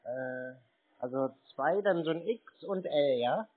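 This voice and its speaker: A man with a fairly high voice talks casually on a call as a prankster. His manner is deadpan and innocently naive. The sound is phone-like, with slight noise. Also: slow pace. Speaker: host, scam-baiting prank caller. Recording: noisy narrow phone-like sound with clicks.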